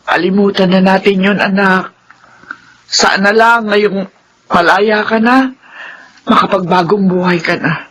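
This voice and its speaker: A woman's voice: medium pitch, fairly even intonation, a little slow, long pauses, fairly bright tone, breathy edge. An actress playing an older mother who cries out with joy when her son is freed, in a classic radio drama. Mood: tearful joy. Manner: crying out, emotional. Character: older mother, tearful and overjoyed at son's release. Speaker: mother of Kokoy.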